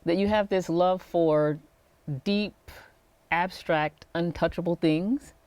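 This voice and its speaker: A woman hosting an interview series, reading a scripted intro and then asking questions in a measured, attentive way. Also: fairly low pitch, darkish tone, no echo. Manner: measured and attentive, frequent affirming murmurs. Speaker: interview host, executive coach.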